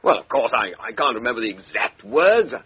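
A blustering English major, his voice swinging wildly up and down as he protests. The tone is raspy and worn, and the sound is dull and lo-fi like an old radio broadcast. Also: mid-range voice, very animated, a little fast. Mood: evasive. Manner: blustering, indignant, rising to panic. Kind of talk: 1950s radio drama acting.

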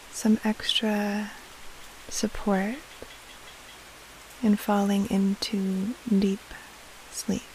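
Female meditation guide, half-whispered and creaky, even in pitch, soothing, over a faint steady hiss. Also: rough recording. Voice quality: soft, breathy, half-whispered. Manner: soothing, hushed, long pauses between short phrases. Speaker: meditation guide, podcast host. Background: soft rain-like hiss.

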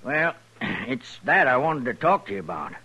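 Husky, folksy old rancher. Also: male voice, medium pitch, big pitch swings, bright, forceful tone, muffled recording, full character voice. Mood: determined. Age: older. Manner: folksy, halting, earnest. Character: stubborn, proud old horse-breeding rancher. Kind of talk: old-time radio drama acting. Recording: vintage radio drama recording.

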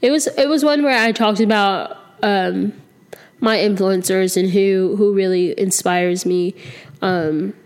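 A woman's voice: medium pitch, unhurried, a little mellow, clean tone. Chatty young woman, a bit nasal. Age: 20s. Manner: rambling, many ums and restarts, candid. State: slightly sick, a little nasal. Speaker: podcast host. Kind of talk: casual solo podcast chat. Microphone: close to the microphone.

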